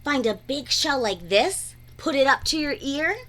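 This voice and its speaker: A woman reading a rhyming children's picture book aloud in an expressive, deliberate, sing-song way. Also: sits high. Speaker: read-aloud storyteller.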